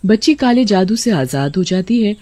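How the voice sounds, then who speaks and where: A woman reads scripted story narration expressively. Her medium-pitched voice is slightly rough and moves animatedly, captured dry. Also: expressive, moderate pace, dark tone.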